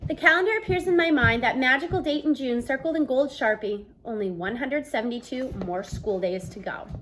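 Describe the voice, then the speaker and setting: A woman in her thirties reading a novel aloud in an expressive, storytelling style, acting out the dialogue. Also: sits high, brisk, low-quality recording. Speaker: read-aloud book reader. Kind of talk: expressive children's audiobook-style read-aloud. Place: small room at home, indoors.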